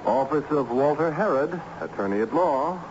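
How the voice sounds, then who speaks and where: An actor playing a wry, exasperated professor in a vintage radio drama. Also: male voice, fairly high pitch, animated, moderate pace, natural voice. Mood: eager, courtly. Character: exasperated, wryly theatrical male professor. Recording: noisy, muffled old radio broadcast.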